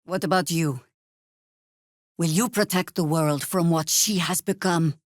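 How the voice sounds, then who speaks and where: A woman's voice: on the low side, a little slow, dry recording, natural voice. A woman playing an older, seasoned druid warrior in a fantasy video game, wry, dry and commanding in manner. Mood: dry, resolute.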